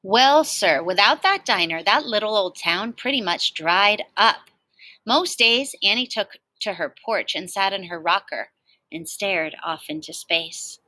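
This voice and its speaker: Bright-voiced woman reading a storybook. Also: mid-range voice, measured pace, no room sound, poor audio. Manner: animated storytelling with asides to young listeners. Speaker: teacher reading a picture book aloud to children. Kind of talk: expressive storybook read-aloud.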